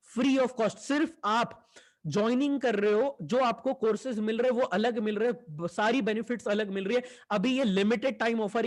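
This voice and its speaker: High-voiced young man pitching. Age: 20s. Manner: enthusiastic, persuasive, mixing Hindi and English. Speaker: online business team leader. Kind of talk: sales pitch session.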